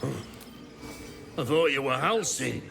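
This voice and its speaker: An actor voicing an impatient, lovelorn wild boar with a gravelly voice in a video game. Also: male voice, medium pitch, expressive, extremely slow, fairly bright tone, soft background music, heavily acted. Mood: impatient, needy.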